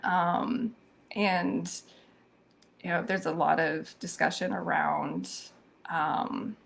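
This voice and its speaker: Slow, gravelly-voiced woman host. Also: fairly low pitch, fairly even intonation. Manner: thoughtful, many ums and restarts. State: hoarse. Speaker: social media show host. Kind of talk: live-streamed commentary on social media news.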